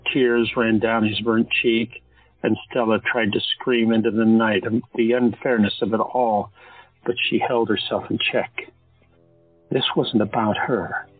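A man narrating an audio drama in a steady storytelling manner. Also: on the low side, slightly rough, no room sound. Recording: tinny phone-like sound, faint music.

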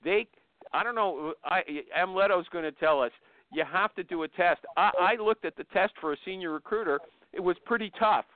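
A man hosting an informal live interview, blunt and quick to interrupt and steer his guest, heard over a narrow phone connection. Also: sits high, no echo. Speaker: host interviewing a guest on a live online show. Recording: narrow, thin phone-line sound.

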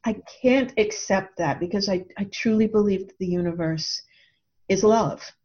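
A woman explaining her ideas in a reflective interview style, speaking earnestly and deliberately, with emphatic repeated phrasing. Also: medium pitch, a little mellow. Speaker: author and podcast guest. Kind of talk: reflective explanatory talk about own ideas. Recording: dull, lo-fi audio.

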